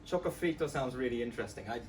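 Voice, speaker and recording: A man's voice: mid-range voice, lively intonation, quick pace. A man giving a casual, chatty live walkthrough of an app as a student presenter, with plenty of fillers. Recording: voice from far off the mic.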